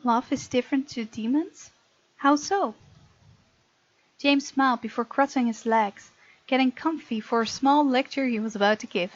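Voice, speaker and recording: A woman narrates a visual novel playthrough, slipping into casual asides. Her voice sits fairly high, and the home recording is dry and slightly muffled. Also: normal speed, no echo. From Netherlands. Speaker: gamer reading a visual novel aloud and voicing the heroine.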